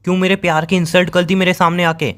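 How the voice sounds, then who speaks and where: A man acts out a wounded young boyfriend in a phone-call breakup drama. His voice sits a little high for a man. He accuses and pleads with bitter sarcasm, hammering the same phrases again and again. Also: level tone, fast, fairly bright tone. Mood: hurt, sarcastic. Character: hurt, accusing young boyfriend confronting his cheating girlfriend.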